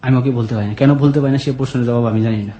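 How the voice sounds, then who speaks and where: A man's voice: medium pitch, fairly even intonation, rapid-fire, warm tone. A man with a colloquial Bangladeshi accent telling his own life story as a guest, earnest and plaintive. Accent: Bangladeshi, colloquial regional. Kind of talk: personal confessional storytelling. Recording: roomy echo on the voice.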